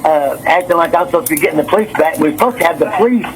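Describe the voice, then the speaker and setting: A man's voice: on the high side, rapid-fire, a crowd in the background. A nervous, agitated man talking on the phone, rambling, repeating himself and stumbling over his words. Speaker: city councilman and business owner on a phone call. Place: on a telephone. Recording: noisy recorded phone call.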